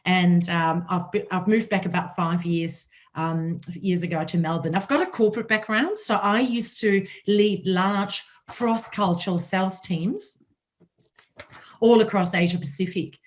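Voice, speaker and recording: A woman with a German accent and a raspy voice, hosting a panel talk and telling her own story in a conversational way. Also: fairly low pitch, measured pace. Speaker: host.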